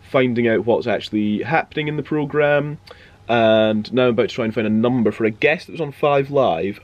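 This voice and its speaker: A man in his twenties, a radio presenter, chatting casually to camera with wry, understated asides. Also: a little mellow. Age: 20s. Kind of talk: casual vlog chat to camera. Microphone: handheld vlog camera. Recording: noisy handheld camera audio, background rumble.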